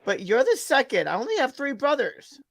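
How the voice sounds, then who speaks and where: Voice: sits high, huge pitch swings, normal speed, gravelly, fairly bright tone, dry recording. A person in their thirties, a goofy co-commentator chatting casually. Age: 30s. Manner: goofy, hums and scats nonsense. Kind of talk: casual chat.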